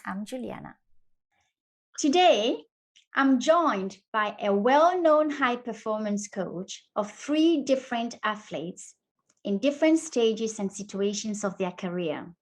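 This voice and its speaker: A woman's voice: fairly high pitch, expressive, takes it slowly, rough recording. A woman hosting a fireside interview series, welcoming her guest with enthusiastic, effusive praise. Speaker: host. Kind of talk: interview chat.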